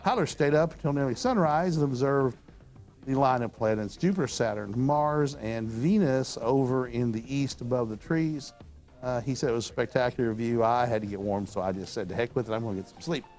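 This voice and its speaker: An older man with a hoarse, worn voice speaks in a relaxed, folksy manner and rambles easily from one story to the next. He sounds like a studio show host chatting about his hobby, with faint music in the background. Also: medium pitch, fairly quick. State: hoarse from talking all weekend. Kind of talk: casual studio chat. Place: studio with telescopes on display.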